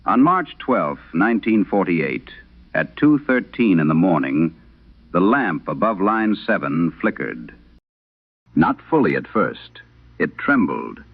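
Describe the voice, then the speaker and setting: A man voicing an eighty-one-year-old former telephone operator recounting her past in a measured, grave, literary way. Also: low voice, creaky, no echo, exaggerated voice. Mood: uneasy, hushed. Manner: measured, grave, literary first-person storytelling. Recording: muffled band-limited old radio sound.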